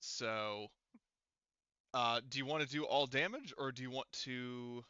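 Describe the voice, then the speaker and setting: A man's voice: mid-range voice, measured pace, sharp tone, clear, resonant tone, no room sound, highs cut off. A man speaking as game master, running a tabletop role-playing game and explaining rules and outcomes to players.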